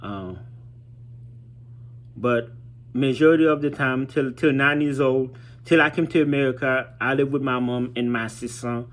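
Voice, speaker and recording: A man speaks in a medium-pitched voice. He tells his own story informally, in a halting, reflective way, often pausing to search for the right word. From Haiti. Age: 30s. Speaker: vlogger telling his childhood story.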